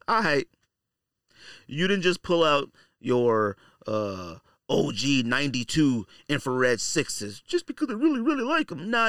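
A man's voice: fairly high pitch, fairly bright tone, no echo, studio quality. A man hosting a solo podcast, talking casually and directly to listeners in slangy, opinionated speech, repeating phrases for emphasis. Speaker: podcast host.

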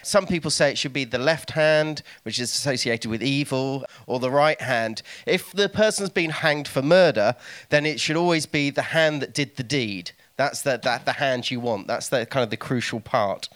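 A man's voice: medium pitch, moderate pace, a bit bright. A man speaking as a guest, giving an informative, explanatory talk. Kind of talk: informative talk with dramatic readings.

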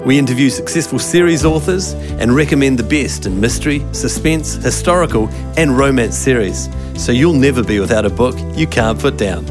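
A man reads the opening announcement of a podcast, smooth and scripted. His voice sits at a middle pitch. Music plays clearly behind him. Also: lively intonation, normal speed. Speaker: show announcer. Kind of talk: scripted show intro.

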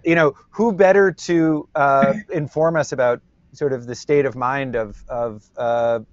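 A man hosting an interview series, talking loudly in a joking, rambling way over a video call. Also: mid-range voice, steady tone, measured pace, no room sound. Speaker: host. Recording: dry, muffled audio.

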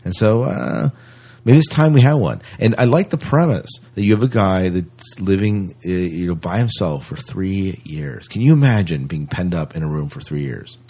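A man with a very deep, husky voice hosts a casual podcast. He talks through the news in a conversational manner, rambling a little and hesitating as he goes. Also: animated, moderate pace, warm tone. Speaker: podcast co-host.

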